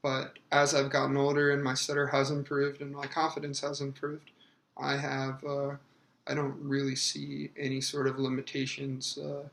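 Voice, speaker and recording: Thirtysomething guy answering questions, lots of uhs, kinda flat, echoey room. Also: mid-range voice, vocal fry. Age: 30s. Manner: hesitant, many fillers and pauses. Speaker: interviewee.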